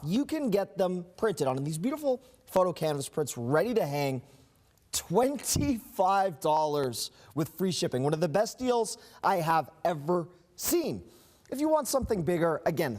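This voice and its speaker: Excited guy doing a tv deals segment, pretty high voice, really bouncy intonation, pitches it like a salesman. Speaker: consumer savings reporter. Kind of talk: upbeat broadcast deals segment.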